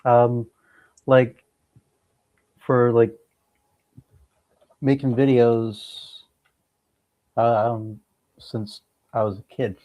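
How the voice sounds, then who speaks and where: A man's voice: medium pitch, level tone, extremely slow, long pauses, mellow. A young man chatting casually as an interview guest, hesitant and sparing with his words. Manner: hesitant, laconic. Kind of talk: casual chat. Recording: dull lo-fi sound.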